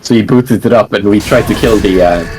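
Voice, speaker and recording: A man being interviewed about the games he plays. He talks in a relaxed, rambling way, with lots of fillers. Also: lowish voice, brisk, over music. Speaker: interview guest, gamer. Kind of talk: casual online interview.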